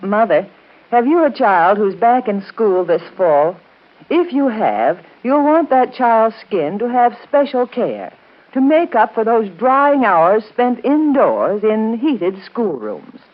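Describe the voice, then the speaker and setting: Animated woman in tinny old radio advertisement. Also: on the high side, slow pace. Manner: emphatic, persuasive direct address. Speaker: commercial spokesperson. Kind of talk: scripted 1930s radio advertisement. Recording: tinny, band-limited 1930s radio broadcast.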